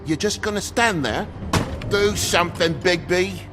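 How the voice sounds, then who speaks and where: A man's voice: high-pitched, unhurried, music in the background. A man voicing an irritable, foul-mouthed British toad in a video game, agitated and full of complaint. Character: irritable, foul-mouthed working-class toad landlord and father.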